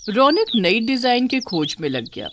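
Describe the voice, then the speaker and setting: A woman's voice: a little high, very animated, moderate pace, background noise. A woman reading a scripted moral bedtime story as a storyteller.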